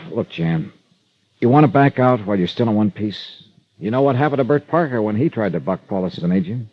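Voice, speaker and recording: Hard-boiled american private investigator, lowish voice that moves around a lot, old dull radio recording. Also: male voice, lively intonation, a little fast, no room sound. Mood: probing, firm. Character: hard-boiled male insurance investigator, wry and dogged. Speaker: detective and first-person narrator. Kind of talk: 1940s-50s radio drama acting.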